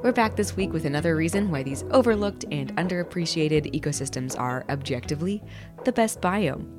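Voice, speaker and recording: A woman's voice: low-pitched, lively intonation, brisk, music in the background. A young woman co-hosting a casual podcast, cheerful and jokey as she opens the show. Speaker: podcast co-host. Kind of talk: casual podcast intro and banter.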